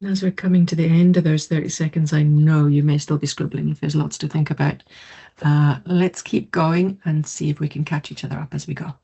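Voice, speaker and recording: A middle-aged woman from South Africa, whose first language is not English, giving an online mindfulness presentation in a lively, quick way. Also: low-pitched, vocal fry, breathy edge. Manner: lively, quick, laughs easily. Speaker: mindfulness teacher.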